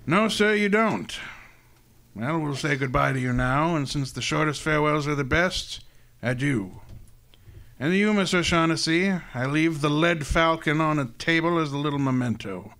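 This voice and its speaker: An actor playing a courtly, pompous criminal mastermind, genial but menacing, with florid formal speech in an old-time radio drama. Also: male voice, fairly high pitch, normal speed, fairly bright tone. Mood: politely menacing.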